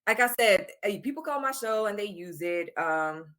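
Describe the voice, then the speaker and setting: A woman's voice: medium pitch, lively intonation, moderate pace, a bit bright. A woman guest on a podcast who chats in an upbeat way and laughs a lot. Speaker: guest, content creator. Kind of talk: casual interview chat.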